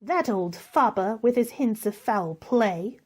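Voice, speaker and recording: A woman playing a brash, common, menacing woman in a radio play, speaking with a deliberate sneer. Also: mid-range voice, expressive, darkish tone. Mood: scornful, suspicious.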